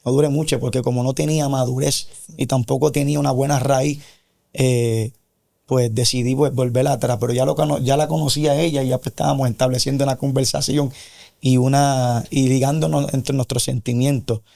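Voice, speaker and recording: A man with a creaky, warm, dark-toned voice at a middling pitch tells his faith testimony as a guest. His delivery is earnest and somewhat preacherly. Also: flat delivery, fairly quick. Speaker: interview guest. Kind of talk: personal religious testimony.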